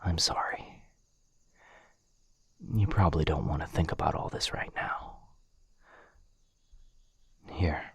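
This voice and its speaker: Man acting a very deep, husky, gentle vampire gentleman. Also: slow, pauses often, dry recording, full character voice. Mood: soft, soothing. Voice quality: breathy, husky, whispery. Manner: soothing, apologetic. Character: gentle, protective vampire gentleman, soft-spoken rescuer. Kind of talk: boyfriend ASMR roleplay. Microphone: close mic, dry.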